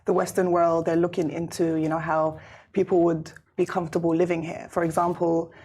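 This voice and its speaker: A woman's voice: lowish voice, little pitch movement, a little fast, mellow, slight background noise. A woman originally from Lebanon whose first language is Arabic, speaking as a mother on a casual panel about her own experience. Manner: talks from personal experience.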